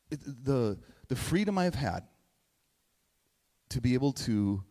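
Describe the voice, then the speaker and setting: A man in his forties speaks as a pastor in a reverberant hall, at a medium pitch. His sermon is informal and conversational, with halting repeated phrases and little check-ins along the way. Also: a little mellow. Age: 40s. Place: large reverberant indoor room, church gathering. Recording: echoey hall with long reverb.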